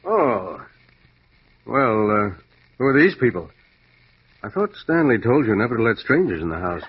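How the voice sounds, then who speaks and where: An actor playing a fussy, genteel family lawyer in an old radio drama, with a proper, mannered delivery. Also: male voice, mid-range voice, big pitch swings, normal speed, husky. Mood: agitated, suspicious. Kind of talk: 1940s-50s radio drama acting. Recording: thin, phone-like vintage radio recording.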